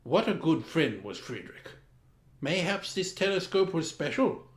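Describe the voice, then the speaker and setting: Theatrical man storyteller, swooping expressive pitch. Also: a little slow. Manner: pompous, grandly formal, dramatic emphasis. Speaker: storyteller, host of a story time. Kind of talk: theatrical scripted children's story narration. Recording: roomy, echoing room sound.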